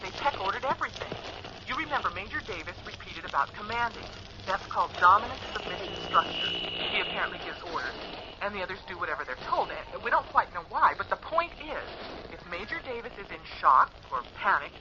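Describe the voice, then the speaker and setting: Radio drama: analytical, earnest female spacefarer, animated pitch, noisy transmission. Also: a little fast, lo-fi sound. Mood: thoughtful, explanatory. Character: Margot, earnest analytical female spaceship crew member from the future. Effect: radio transmission filter.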